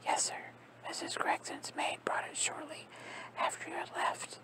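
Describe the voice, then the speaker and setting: A woman's voice: unhurried, natural voice. A woman voicing a composed, deferential male valet in a clipped whisper. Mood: cool. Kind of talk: ASMR storybook reading.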